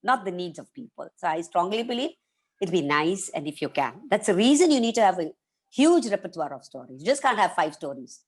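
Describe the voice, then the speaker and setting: Lively, quick-talking Indian woman storyteller. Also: lowish voice, husky. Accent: Indian English. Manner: animated, acts out quoted voices, laughs often. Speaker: professional storyteller, interview guest. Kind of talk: casual interview chat.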